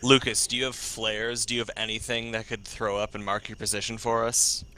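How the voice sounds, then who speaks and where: A man with a low, fairly monotone voice and a sharp, forceful edge. He plays a role-play game, casually deciding plans with the other players. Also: fairly quick, slight background noise, rough recording. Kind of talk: casual tactical discussion in a game.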